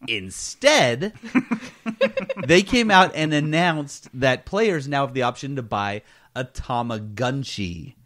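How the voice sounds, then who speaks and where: A man co-hosts a casual gaming podcast. His pitch swings around in an animated way as he jokes along and feigns disbelief, all in loose, conversational talk. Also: takes it slowly. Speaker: podcast host. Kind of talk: casual comedic podcast chat about gaming.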